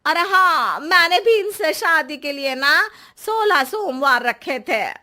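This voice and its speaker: A woman playing a sharp-tongued, suspicious cartoon wife, bossy and sarcastic. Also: high-pitched, expressive, slow, heavily acted. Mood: chatty. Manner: sarcastic, scolding. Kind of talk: comic cartoon dialogue.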